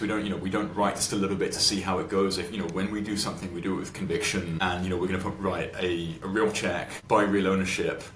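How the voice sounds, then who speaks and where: A man's voice: low-pitched, fairly bright tone. A man giving informal, colloquial interview answers as an investor guest, explaining things in a conversational way. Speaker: venture capital investor, podcast guest. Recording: roomy echo with clear background noise.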